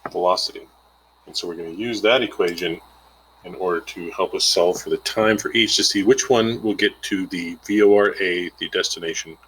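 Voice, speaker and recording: A man's voice: deep voice, bright, forceful tone, slight background noise, low-quality recording. A man giving an informal, plainspoken lesson, explaining a worked example step by step and thinking aloud as he calculates. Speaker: instructor.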